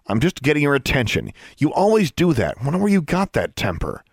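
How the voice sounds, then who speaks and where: A man's voice: on the low side, lively intonation, a little fast, dry recording, clean studio sound. A man voicing a bold, stubborn thirteen-year-old witch in an audiobook story, defiant and insistent.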